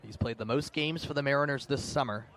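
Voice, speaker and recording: A man's voice: medium pitch, fast, a bit bright. A man doing live radio baseball play-by-play, in clipped, descriptive phrases. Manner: clipped, descriptive calling that swells on big plays. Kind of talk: live radio sports commentary. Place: outdoor ballpark broadcast position. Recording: outdoor ballpark ambience behind voice.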